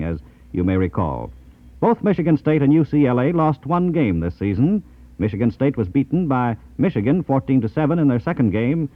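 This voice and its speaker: Old-timey american radio news guy, really lively up-and-down pitch, dry studio, thin narrow tape sound. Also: no room sound. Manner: measured, formal scripted delivery. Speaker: radio newsreader and announcer. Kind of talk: 1950s radio news and ad reading. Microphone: dry radio studio microphone. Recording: tinny, band-limited 1950s radio tape.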